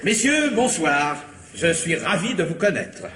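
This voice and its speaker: An actor playing a pompous, sentimental police commissioner in a French radio comedy-mystery, speaking with deliberate self-importance. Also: male voice, a little high, very animated, pushed and bright, heavily acted. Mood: self-satisfied.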